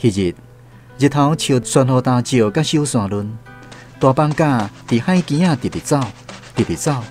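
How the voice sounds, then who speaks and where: A medium-pitched man with a Taiwanese accent narrates a radio drama. His storytelling is expressive and very lively in intonation, with music underneath. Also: measured pace.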